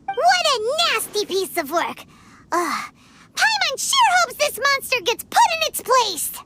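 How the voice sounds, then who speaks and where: A woman voicing a tiny, excitable fairy companion in a game, with a squeaky, childlike voice and dramatic exclamations. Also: measured pace, heavy background noise, heavily acted. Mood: indignant. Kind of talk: game voice acting. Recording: music underneath.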